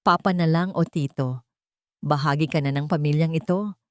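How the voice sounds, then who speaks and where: Woman reading as a dignified older Filipino father, low-pitched. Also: moderate pace, dry recording, clean studio sound, lightly acted. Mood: gentle. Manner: formal, earnest. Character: dignified, remorseful, affectionate older wealthy father.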